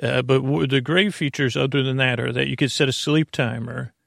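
A man hosting a bedtime podcast, rambling through an intro in a creaky voice with a meandering, halting, muttering delivery. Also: mid-range voice, steady tone, a bit bright, no room sound, studio quality. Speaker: podcast host.

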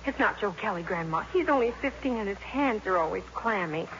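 An actress playing an earnest, easily wounded high school girl in a 1950s radio comedy. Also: animated, a little fast, close to an everyday voice. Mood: eager, anxious. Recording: muffled, noisy old radio broadcast.

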